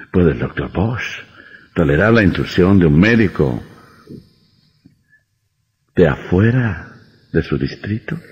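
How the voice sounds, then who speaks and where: An actor playing a sinister, gloating vampire doctor in an old radio horror drama, with a mocking, deliberate menace. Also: male voice, low-pitched, animated, husky, exaggerated voice. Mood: gloating, menacing. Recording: muffled old radio recording, long reverb.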